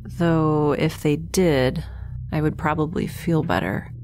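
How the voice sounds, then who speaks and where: A woman's voice: deep voice, steady tone, slow pace, over music. An actress playing a weary, sardonic young woman held captive in space in an audio drama, with a wry, rambling manner. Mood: groggy, puzzled. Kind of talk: audio drama monologue and dialogue.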